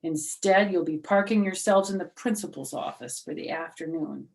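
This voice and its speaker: A woman's voice: low voice, moderate pace, creaky, darkish tone. A woman voicing a stern male teacher who corrects grammar, in a storybook reading. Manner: correcting and firm.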